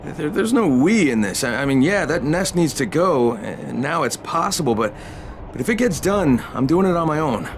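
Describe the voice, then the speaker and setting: An actor playing a stubborn, casual young hero in a video game, speaking directly. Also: male voice, fairly high pitch, very animated, moderate pace, a little hiss or hum. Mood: firm. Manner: informal, direct, earnest.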